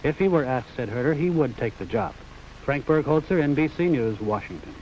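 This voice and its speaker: Higher-voiced American newsman, muffled vintage broadcast. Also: expressive, fairly quick, darkish tone. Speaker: news correspondent. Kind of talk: scripted news report. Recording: dull 1950s broadcast, faint noise.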